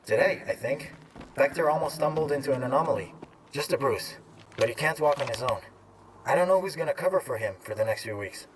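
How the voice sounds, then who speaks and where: A man playing a calm, doubtful stalker, heard from afar. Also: medium pitch, a little fast, natural voice. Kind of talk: video game dialogue. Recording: distant voice, faint noise.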